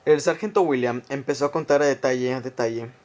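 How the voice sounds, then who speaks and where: A man's voice: medium pitch, quick pace, a little mellow. Male narrator of an action radio drama.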